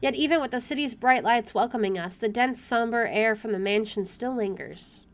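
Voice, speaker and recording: Expressive, higher-voiced woman gamer. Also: normal speed. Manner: expressive, opinionated. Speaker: gamer playing and reacting to a visual novel. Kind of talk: reading game narration aloud with casual commentary.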